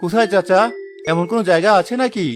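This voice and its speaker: Man playing a high-voiced, downtrodden fisherman. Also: fast, soft background music, natural voice. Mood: doubtful. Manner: plaintive, earnest. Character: poor, honest, downtrodden young fisherman, weary and pleading. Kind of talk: dramatised cartoon dialogue.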